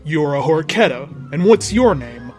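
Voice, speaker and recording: A man voicing a calm, cautioning male creature mentor, subdued and measured, in a storybook reading. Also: fairly high pitch, faint music behind, lightly acted. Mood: wary. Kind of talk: dramatic fan fiction reading.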